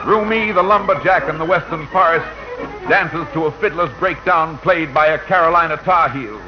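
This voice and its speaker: An actor playing the grand voice of radio, solemnly declaiming to the public in 1940s narration style. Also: male voice, fairly high pitch, moderate pace, natural voice. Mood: solemn, stirring. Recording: dull 1940 radio recording. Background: music.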